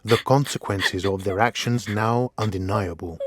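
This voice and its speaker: A man's voice: lowish voice, moderate pace, no echo, clean studio sound. A man narrating a scripted fairy tale in an earnest, dramatic storytelling manner.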